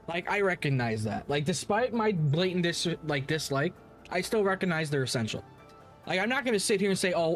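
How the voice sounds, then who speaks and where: A man with a slightly high-pitched voice hosts a casual gaming livestream. He rambles through his opinions and swears freely as he talks to chat. Faint music plays behind him. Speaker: livestream host. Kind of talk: casual livestream commentary on games, answering chat.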